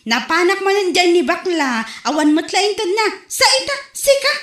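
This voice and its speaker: A woman plays a high, shrill-voiced lender with an Ilocano accent. She nags and complains without letup, and her voice rings in an echoey room. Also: animated, moderate pace, a bit bright. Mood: exasperated. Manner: nagging, exasperated complaining. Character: pushy woman lender demanding repayment.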